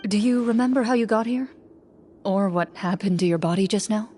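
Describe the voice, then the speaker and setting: An actress playing a composed, perceptive female duelist in a video game, speaking in a measured, formal, articulate way. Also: medium pitch, darkish tone, a little hiss or hum, close to an everyday voice. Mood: composed, concerned.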